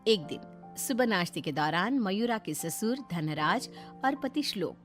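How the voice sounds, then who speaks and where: A woman's voice: medium pitch, lively intonation, a little slow, soft background music. A woman narrating a scripted moral story.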